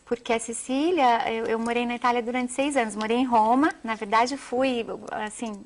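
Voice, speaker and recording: A woman's voice: on the high side, steady tone, normal speed. A Brazilian woman, a journalist and author, speaking as an interview guest in an earnest, emphatic, wordy way. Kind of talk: television interview, animated storytelling.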